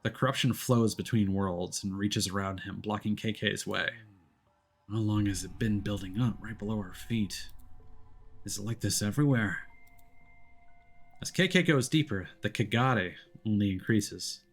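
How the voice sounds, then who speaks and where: A man's voice: on the low side, moderate pace, faint music behind. A man streaming a game, narrating its story in a dramatic, theatrical manner.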